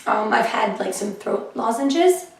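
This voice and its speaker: A woman comedian chatting casually in a vlog about her shows, her voice a little hoarse and often clearing her throat. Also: a little high, animated, measured pace. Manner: chatty and upbeat, with fillers. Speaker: comedian vlogging her diary. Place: living room of a flat, indoors. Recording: echoey room, heard from a distance.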